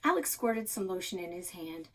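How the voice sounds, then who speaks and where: A woman narrating a children's novel aloud in an expressive storytelling style. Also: on the low side, normal speed.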